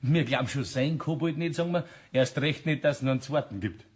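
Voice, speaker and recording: A man's voice: medium pitch, a little fast, husky, close to an everyday voice. An actor playing a mischievous, jovial Bavarian tradesman, a bossy ringleader among pub regulars in a radio play. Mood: scheming.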